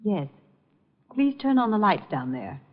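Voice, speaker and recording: A woman's voice: mid-range voice, big pitch swings, unhurried, a little hiss or hum. An actress playing an icy, imperious, mocking woman in a 1950 radio drama, with a cold, clipped, commanding delivery. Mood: cool, commanding. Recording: dull old radio recording, faint music.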